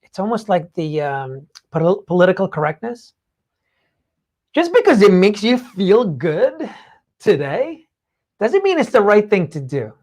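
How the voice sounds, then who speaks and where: A co-host in a casual, opinionated chat, speaking bluntly and haltingly, often checking in mid-thought and swearing casually. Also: mid-range voice, big pitch swings, a little mellow. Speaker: talk show co-host.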